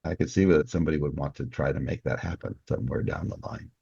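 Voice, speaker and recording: A man with a gravelly, husky voice talking ideas through speculatively as an interview guest. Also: very deep voice, quick pace, warm tone, dry recording. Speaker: guest, novelist. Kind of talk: conversational interview discussion. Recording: dull, muffled dry recording.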